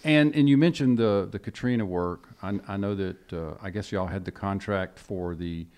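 Host: low-voiced man, chatty, stumbles over his questions. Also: normal speed, darkish tone, clear, resonant tone. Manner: chatty, hesitant, with restarts and backchannels. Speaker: radio host, interviewer. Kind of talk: casual interview questions.

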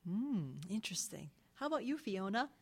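A woman's voice: mid-range voice, big pitch swings, moderate pace, slightly rough. A woman hosting an interview with effusive, laughing enthusiasm. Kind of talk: interview chat.

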